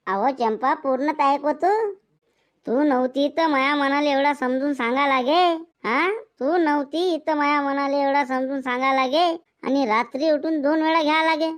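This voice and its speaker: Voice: high-pitched, fairly even intonation, normal speed, natural voice. A performer voicing a scolding, sarcastic village father in a comedic cartoon, with a rural Maharashtrian Marathi accent. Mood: teasing. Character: grumbling, mocking, boastful village father. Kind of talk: comedic cartoon skit dialogue.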